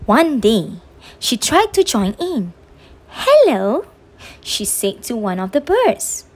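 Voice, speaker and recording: Woman, children's read-aloud narrator. High voice, very animated swings, drawn-out phrasing. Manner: expressive, drawn-out delivery for young children. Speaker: storybook reader on a children's channel.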